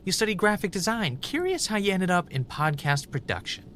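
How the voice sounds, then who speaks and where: An actor playing a haunted, paranoid, defiant man in an audio drama, speaking intensely in short, halting phrases. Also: high voice, sing-song, fairly bright tone, slight background noise. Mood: accusing.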